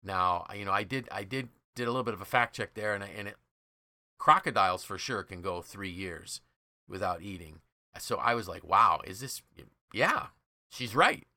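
A male podcast host with a fairly low, bright and forceful voice. He explains things conversationally, leaning on key words for emphasis, in a dry, close sound. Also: lively intonation, moderate pace, no room sound.

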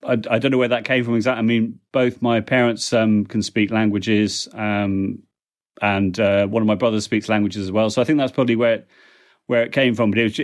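A middle-aged man speaking in a somewhat low voice as a podcast guest. He rambles in a friendly way, with frequent ums and restarts, and sounds like he's speaking over a remote call from home. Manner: chatty and warm, with frequent "uh" and "um" and small restarts. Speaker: guest, language technology consultant. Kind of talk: relaxed interview answers.

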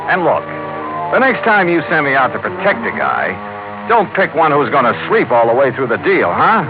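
A man's voice: medium pitch, sing-song, normal speed. An actor playing a tough, wry American insurance investigator, telling his case in a 1950s radio drama. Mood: wry, relaxed. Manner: hardboiled, clipped, wry. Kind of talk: 1950s radio detective drama, first-person narration. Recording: tinny vintage radio recording with music.

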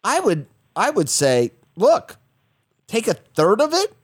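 A man's voice: high-pitched, slow, slightly rough, no room sound, studio quality. A man in his fifties co-hosting a call-in advice show, jumping in and acting out little scenes. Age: 50s. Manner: lively, jumps in, acts out little scenes. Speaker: radio show co-host. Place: studio.

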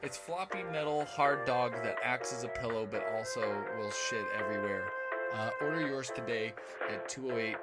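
A man riffing a profane fake advertisement on a casual podcast, laughing at his own jokes. His pitch sits in the middle of the usual range, and loud music plays behind him. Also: normal speed. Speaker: podcast co-host. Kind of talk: crude improvised fake ad.

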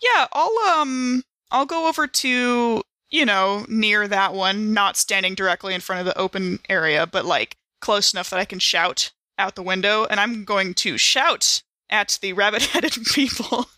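A woman's voice: medium pitch, unhurried, hardly any pauses, pushed and bright, dry recording. A woman chatting casually and improvising as a player in a tabletop role-playing game.

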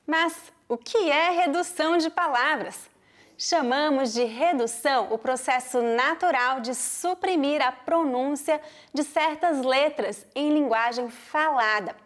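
A high-pitched woman from Brazil with a mixed regional Brazilian accent. She teaches pronunciation, explaining clearly and deliberately and sounding out examples. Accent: Brazilian Portuguese, mixed regional. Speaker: Portuguese teacher. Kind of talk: pronunciation lesson.

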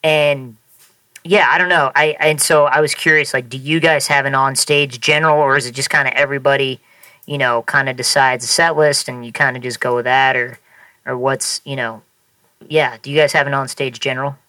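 A man's voice: mid-range voice, fairly even intonation, brisk, pushed and bright, dry recording. A man guest on a podcast, chatting casually and asking questions with many fillers and restarts. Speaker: guest musician.

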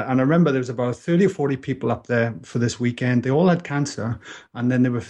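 A man's voice: mid-range voice, darkish tone. A Welsh man in his thirties, a podcast guest telling his story in a casual interview, earnest and reflective with frequent restarts. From Wales.

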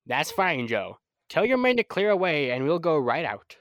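A man acting an excitable, good-humoured scientist and doctor in an old-time radio drama. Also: a little high, normal speed, no echo, dull audio. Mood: businesslike.

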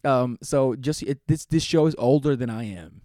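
A man in his late twenties co-hosting a podcast, chatting casually and excitably, laughing and swearing often. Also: mid-range voice, moderate pace, no room sound, clean studio sound. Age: 20s. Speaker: podcast co-host. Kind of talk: casual chat.

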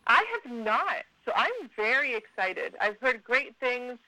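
A woman's voice: fairly high pitch, big pitch swings, measured pace, sharp tone, no room sound. Chatty upbeat woman on a phone line. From Miami, USA. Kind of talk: casual interview.

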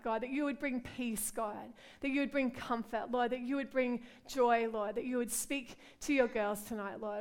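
A woman's voice: on the high side, smooth. A middle-aged woman from Australia with an Australian accent, preaching and praying at a women's gathering in an earnest, heartfelt way. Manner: warm, earnest, repeats "God" and "Lord" in prayer. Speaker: pastor. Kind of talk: women's conference sermon and prayer.

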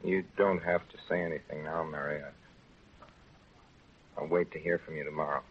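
A man's voice: rumbling low, steady tone, normal speed. An actor playing a plainspoken, earnest small-town man in 1930s radio acting style, on a tinny, noisy broadcast. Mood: shy, tender.

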